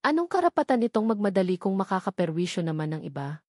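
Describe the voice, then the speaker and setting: A woman reading a romantic drama aloud as its narrator, earnest and measured. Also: medium pitch, very animated, a little mellow, dry recording, studio quality. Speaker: storyteller.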